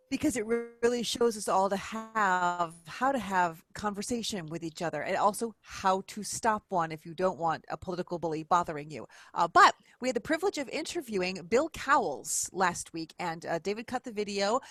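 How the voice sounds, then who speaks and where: A woman's voice: medium pitch, fairly bright tone, dry recording. Upbeat woman explaining, dry sound. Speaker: guest author. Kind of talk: interview talk.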